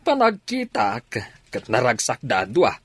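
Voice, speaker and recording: A man's voice: medium pitch, wildly swooping pitch, unhurried, husky, dry recording, full character voice. Man acting a suspicious father, dry close sound. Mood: gossipy, incredulous. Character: gossipy, suspicious middle-aged family father. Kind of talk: Ilocano radio drama acting.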